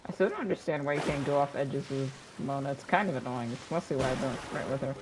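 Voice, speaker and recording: A man's voice: medium pitch, quick pace, noisy background. A young man streaming a video game with casual, chatty commentary.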